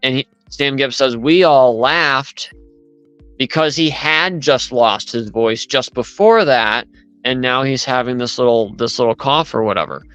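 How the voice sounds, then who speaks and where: Smooth-voiced man rambling through a story. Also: mid-range voice, measured pace, a bit bright, no room sound, soft background music, low-quality recording. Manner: rambling, retells events at length with many asides. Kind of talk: casual chat.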